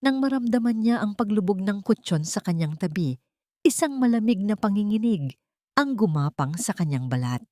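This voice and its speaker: A woman's voice: mid-range voice, very animated, normal speed, mellow, no room sound, clean studio sound. A woman narrating a dramatic scripted audio story.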